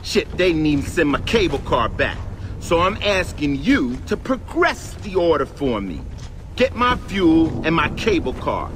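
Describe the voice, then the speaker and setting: A man's voice: a little high, very animated, a little slow, background noise. An actor playing a greedy, smug fuel baron in a video game, talking down to the men he hires. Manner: smug, mocking. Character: swaggering greedy fuel baron, middle-aged man.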